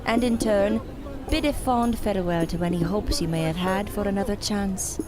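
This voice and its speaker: A woman playing a contemptuous, cryptic circus mystic in an audio drama, speaking in a deliberate, theatrical and cutting way. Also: medium pitch, over music, lightly acted. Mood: haughty, needling.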